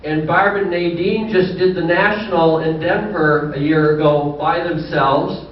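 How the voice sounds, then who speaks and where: An older man in his sixties, with a fairly high voice for a man, tells unhurried, folksy stories with dry humor at a banquet. He is heard from a distance through a muffled hall mic, with a strong echo in the room. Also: steady tone. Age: 60s. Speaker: business owner giving a banquet speech. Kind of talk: anecdotal after-dinner speech.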